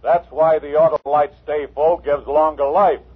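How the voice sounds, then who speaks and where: An actor playing a jaunty talking automobile in a 1950s radio commercial, chipper and eager. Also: male voice, on the high side, fairly even intonation, normal speed, husky. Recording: tinny phone-like old radio broadcast.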